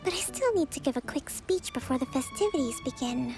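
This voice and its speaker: An actress voicing a calm, gentle young woman who leads an island in a video game, speaking softly and graciously. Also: high voice, brisk, loud music behind the voice. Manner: soft, composed, gracious. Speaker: leader of an island.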